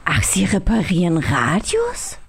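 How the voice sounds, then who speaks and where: A woman's voice: on the low side, huge pitch swings, measured pace, breathy edge, pitched below the performer's own voice, exaggerated voice. A woman voicing a good-natured, naive zoo elephant in a children's radio play, innocent and puzzled. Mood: confused, reluctant. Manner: innocent, puzzled, plain-spoken.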